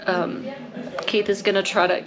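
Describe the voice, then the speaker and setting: A woman vlogger speaking candidly to camera, upset and anxious, with hesitant pauses. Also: medium pitch, flat delivery, a crowd in the background. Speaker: travel vlogger. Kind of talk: candid vlog talk to camera.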